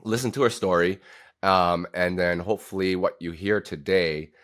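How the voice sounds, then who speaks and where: A man's voice: deep voice, steady tone, moderate pace, a bit bright. A man hosting a casual podcast interview in an encouraging way. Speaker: podcast host.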